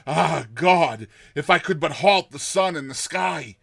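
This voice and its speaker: A man voicing a terrified man telling his nightmare in a dramatized story, with tense pauses, hushed passages and explosive outbursts. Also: fairly high pitch, animated, vocal fry, husky, dry recording, clean studio sound, voice pushed up, full character voice. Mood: rising panic. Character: terrified man recounting his nightmare of a lurking giant serpent.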